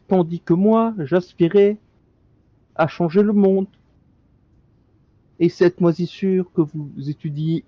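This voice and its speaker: A man's voice: fairly high pitch, no echo, dull audio. A male streamer reading in-game documents aloud during a co-op session, stumbling over words and breaking off into joking asides.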